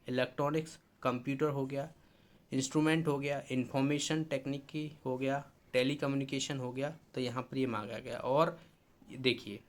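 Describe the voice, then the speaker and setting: A man with a medium-pitched voice teaches in an informal explainer style. He reads items out and then explains them, repeating key points in an instructive way and dropping in English technical terms. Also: fairly bright tone. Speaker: exam-preparation teacher.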